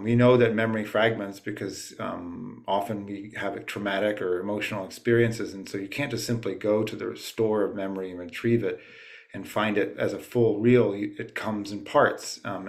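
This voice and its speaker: A low-pitched man hosts a book club from home over a video call. He speaks haltingly, with many fillers, as he introduces a guest author. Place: at home late at night.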